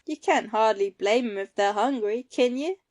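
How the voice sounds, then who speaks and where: Ailing rural husband played by a woman, easygoing and gently grumbling, folksy country talk, highish voice, audio play. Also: moderate pace, natural voice. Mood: mild, reasoning. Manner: folksy rustic dialect phrasing. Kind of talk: amateur audio play acting.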